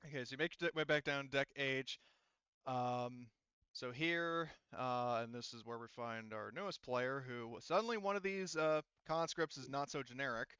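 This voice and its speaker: A man acting as game master, narrating a tabletop roleplaying game casually and haltingly, thinking aloud. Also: medium pitch, pushed and bright, clear, resonant tone, no room sound, lo-fi sound.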